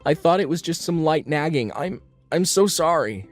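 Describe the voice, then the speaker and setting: A man's voice: on the high side, expressive, normal speed, natural voice. A man voicing an earnest, protective young husband in a dubbed comic, speaking with emotion. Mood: apologetic, pleading. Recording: music behind the voice.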